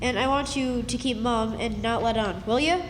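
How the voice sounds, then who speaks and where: A woman's voice: fairly high pitch, moderate pace, a little hiss or hum, lightly acted. A woman playing an earnest, scheming runaway country boy in a radio play, with folksy speech. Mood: urgent, earnest. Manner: folksy, eager. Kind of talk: stage radio drama acting. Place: live stage in a hall. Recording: reverberant hall recording.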